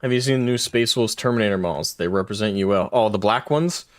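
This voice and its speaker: A man's voice: lowish voice, fast talker, no room sound, studio quality. A man in his twenties streaming a game live, chatting casually with his chat in a rambling, self-deprecating way and laughing often. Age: 20s.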